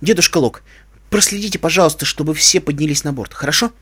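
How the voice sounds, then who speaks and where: A man voicing a cool, caring female pony leader with a measured, polite and decisive manner in a storybook. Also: on the high side, fairly bright tone, breathy edge. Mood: businesslike.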